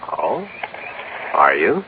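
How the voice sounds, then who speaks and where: An actor playing a smooth-talking, scheming lodge owner in 1950s radio drama style. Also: male voice, rumbling low, huge pitch swings, extremely slow, a little hiss or hum, exaggerated voice. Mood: genial. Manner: genial, coaxing. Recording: phone-like old radio broadcast.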